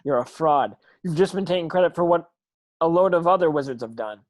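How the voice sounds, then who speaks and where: A woman's voice: low voice, lively intonation, brisk, darkish tone. A woman playing a determined, earnest schoolboy wizard hero in an amateur script read. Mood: accusing.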